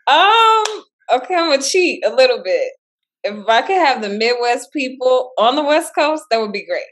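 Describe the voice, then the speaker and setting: A woman's voice: sits high, big pitch swings, normal speed, a bit bright. A woman from Chicago, a school principal in her thirties or forties, chatting cheerfully in an interview and laughing easily. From Chicago, South Side. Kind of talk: casual interview chat.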